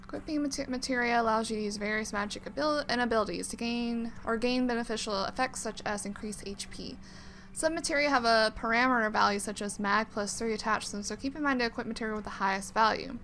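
A woman's voice: on the high side, quick pace, fairly bright tone, a little hiss or hum. A woman hosting a let's play, commenting casually on a game and reading on-screen text aloud.

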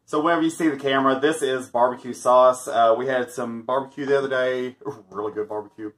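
A man's voice: mid-range voice, fairly even intonation, a little fast, a bit bright. A man in his thirties chatting casually while testing a laundry product, joking and chuckling often, recorded on a camera mic in a small room. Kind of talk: casual product review chat. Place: small indoor room, backdrop with stars.

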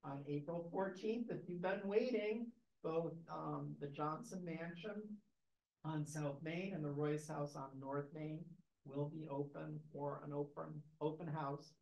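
An older man, president of a preservation trust, giving relaxed welcome remarks with many fillers to an audience in a library meeting room, recorded from a camera in the audience. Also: a little high, measured pace, creaky. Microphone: far camera in the audience. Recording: echoey room, dull lo-fi sound.

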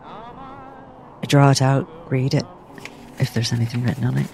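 A man's voice: medium pitch, expressive, unhurried, gravelly, darkish tone, a little hiss or hum. A man speaking as a player in tabletop roleplay, giving short in-character actions and replies.

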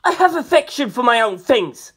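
A narrator voicing a thin, excitable male artist with a fussy, vigorous, indignant manner. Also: medium pitch, moderate pace, voice pushed up. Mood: snapping.

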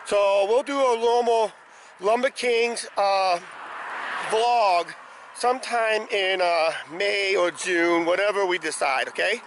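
A middle-aged man hosts a casual vlog in a slightly hoarse, fairly high voice. He rambles along with frequent hesitant tags. He is filming himself outdoors at arm's length, with clear ambient noise around him. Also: slow, fairly bright tone. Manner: rambling, repeats "uh, basically". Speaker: vlog host. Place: outdoors, roadside and ballpark. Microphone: handheld camera at arm's length.

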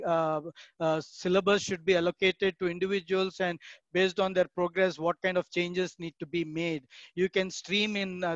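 A man giving an online keynote talk, measured and explanatory, with frequent "uh" pauses. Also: sits high, a bit bright, no echo, lo-fi sound. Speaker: keynote speaker.

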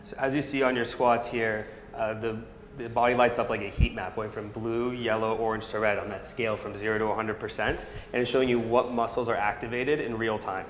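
Fitness brand rep, man in his thirties, rapid instructive delivery, echoey gym recording. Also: fairly low pitch, level tone, a little hiss or hum. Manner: fluent, instructive, coach-like. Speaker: startup product specialist. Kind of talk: product demo explanation. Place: large indoor gym with arched hallway.

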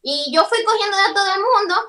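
A woman from the Cibao region with a Dominican accent, a comedian chatting lively and joking about herself in an interview over a video call. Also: very high-pitched, fairly quick, distant mic. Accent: Dominican Cibao Spanish. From Dominican Republic, Cibao region.

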